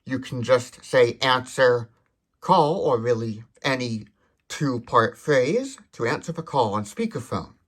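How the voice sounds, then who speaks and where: A man's voice: medium pitch, fairly bright tone. A man hosting a tech demonstration, explaining details methodically. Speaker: tech demonstrator, video host.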